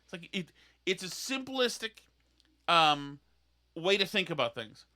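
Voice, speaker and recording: A man hosting a podcast, ranting in an animated, sarcastic, mocking way. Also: high-pitched, unhurried. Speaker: podcast host. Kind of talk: opinionated podcast rant.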